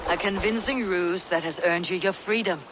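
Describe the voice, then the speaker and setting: An actor playing a steady, commanding Norse warrior in a video game, speaking in a grave, measured, resolute way. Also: male voice, high-pitched, noisy background, lightly acted. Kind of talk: video game character acting.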